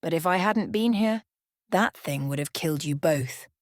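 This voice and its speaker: A woman's voice: fairly low pitch, lively intonation, normal speed, dry recording, natural voice. Cocky young male vampire for a storybook, played by a woman: sneering, taunting, dry close sound. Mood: smug. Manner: smirking, taunting.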